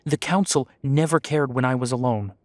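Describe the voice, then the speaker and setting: A blunt, guarded young male werewolf acted by a man in an audio drama. His voice is mid-pitched and recorded very dry, with a questioning, defiant edge. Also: moderate pace, no echo, lightly acted. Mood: frustrated, defiant. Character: defiant, bewildered young werewolf, reluctant pack leader. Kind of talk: audio roleplay drama acting.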